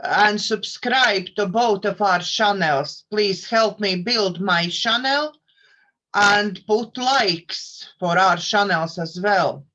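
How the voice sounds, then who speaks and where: A woman's voice: medium pitch, slow pace, sharp tone. A woman with a Latvian accent hosting a live tarot stream, speaking with careful, non-native phrasing. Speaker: host of the tarot channel. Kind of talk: casual live-stream chat. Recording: dull lo-fi stream audio.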